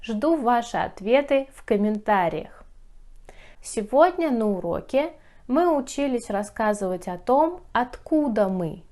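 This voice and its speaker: A woman's voice: a little high, very animated, a little slow, dark tone. A woman from Russia teaching a language lesson, speaking carefully and repeating phrases for learners. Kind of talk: slow, clearly enunciated language lesson.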